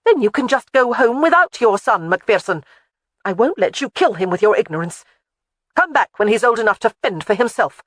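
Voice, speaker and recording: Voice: mid-range voice, lively intonation, normal speed, dry recording, studio quality, lightly acted. The audiobook narrator voicing a protective young woman who scolds firmly. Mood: scolding. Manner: scolding, firm. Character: fierce, protective young woman caring for a baby.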